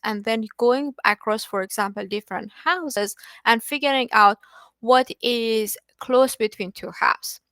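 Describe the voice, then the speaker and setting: Woman, native Persian speaker, fairly high voice, dry close mic; online tech lecturer, patient, self-correcting. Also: fairly bright tone, no echo. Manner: explains patiently with restarts and filler words. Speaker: study group presenter. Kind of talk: live online technical lecture.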